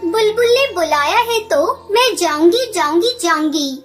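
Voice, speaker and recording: Woman as a very high-pitched little girl bird. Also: expressive, normal speed. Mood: stubborn. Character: poor young girl bird, earnest and stubborn. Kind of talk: children's cartoon dialogue. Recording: music under the voice.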